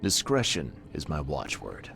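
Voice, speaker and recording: A man's voice: a bass voice, huge pitch swings, a little slow, vocal fry, a bit bright, faint music behind, exaggerated voice. A man playing a terse, world-weary private detective in a video game, dry and guarded in manner. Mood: terse, businesslike. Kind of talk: video game cutscene acting.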